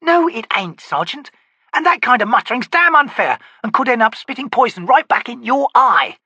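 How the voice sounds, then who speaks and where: A man voicing a sardonic, bossy young female corporal who scolds crisply in an audiobook. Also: high voice, sing-song, a little fast, bright, forceful tone, no room sound, dull audio, voice pushed up, heavily acted. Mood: scolding.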